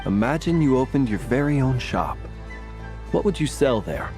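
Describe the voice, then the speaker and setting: A man's voice: mid-range voice, very animated, moderate pace, music in the background, natural voice. A man voicing a courteous, whimsical talking lantern in a video game, speaking in a formal, gracious, theatrical way. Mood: gently inquiring.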